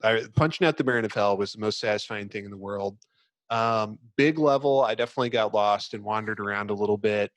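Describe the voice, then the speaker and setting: A man with a fairly low voice hosts a casual gaming discussion. He explains in a loose, halting, rambling manner. The sound is dry, with very little room. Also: dry recording. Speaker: podcast host. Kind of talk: casual video game discussion.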